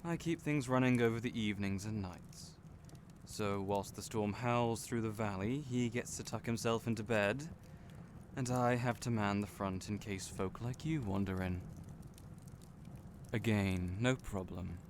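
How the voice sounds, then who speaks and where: A man's voice: lowish voice, measured pace, natural voice. A man playing a friendly, teasing young innkeeper in a fantasy audio roleplay, chatty and playful, speaking right to the listener. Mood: genial, chatty. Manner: chatty, playful, genial storyteller. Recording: noisy storm and fire ambience behind. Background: storm and crackling fire ambience.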